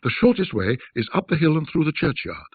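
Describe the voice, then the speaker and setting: Man voicing a haunted, husky, terse man. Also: a little high, very animated, brisk, pitched above the performer's own voice. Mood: tense. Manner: terse, hushed. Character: agitated, haunted man, secretive and resigned. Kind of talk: ghost story character reading. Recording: muffled band-limited audio, roomy echo.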